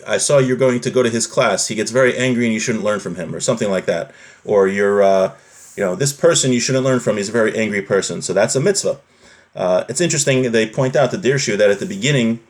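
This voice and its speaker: Man teaching religious law, conversational. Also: lowish voice. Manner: switches between Hebrew quotations and plain explanation. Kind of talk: informal religious lesson, reading and explaining a text.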